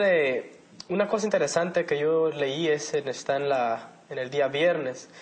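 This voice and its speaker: A young man from El Salvador, a theology student and guest, who hesitates and restarts when speaking freely. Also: a little high.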